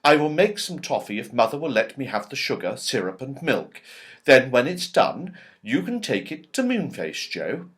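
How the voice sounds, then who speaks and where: Man doing a sweet earnest little storybook girl, excitable, voice bounces around loads. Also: mid-range voice, moderate pace.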